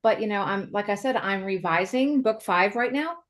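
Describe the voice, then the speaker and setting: A woman's voice: mid-range voice, expressive, normal speed. A woman hosting a casual conversational podcast interview, chatty and agreeable, talking in loose asides. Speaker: podcast host and author.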